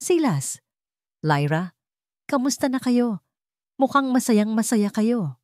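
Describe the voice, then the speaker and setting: A woman voicing a poised, vengeful wronged wife in an audio drama story, calm with a sharp edge underneath. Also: fairly high pitch, slow pace, no echo, studio quality, pitched above the performer's own voice. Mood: mocking.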